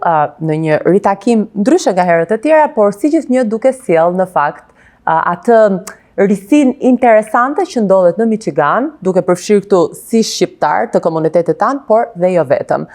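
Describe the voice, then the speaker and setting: A woman's voice: mid-range voice, expressive, a little fast, darkish tone. A woman, hosting a TV interview and switching between languages, often hesitating with fillers. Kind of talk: bilingual TV interview.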